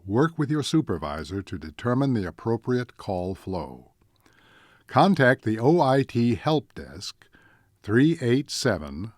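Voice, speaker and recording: A man reading scripted instructional narration in a measured, deliberate way, like a training presenter. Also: on the low side, a little mellow, no room sound, studio quality. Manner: measured, deliberate, enumerating points.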